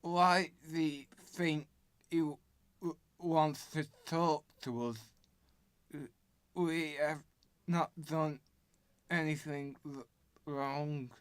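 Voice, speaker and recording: A man playing a nervous conjoined twin from a circus freak show, with a halting stammer, in an amateur radio drama. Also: a little high, fairly even intonation, clean tone, no echo. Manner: halting stammer, broken into short pieces.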